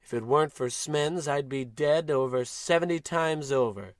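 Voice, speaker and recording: A man's voice: fairly high pitch, normal speed, crowd noise, natural voice. A man playing a pompous, blustering space captain in an old-time radio comedy, declaiming with great self-importance. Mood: solemn, grandiose.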